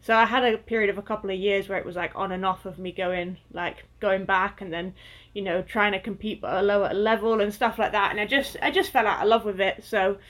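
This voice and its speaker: Young female athlete guest, chatty and relaxed, mid pitch, remote call mic. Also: a little fast. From Northwest England. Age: 20s. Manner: chatty, lots of fillers, laughs easily. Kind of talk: casual interview chat.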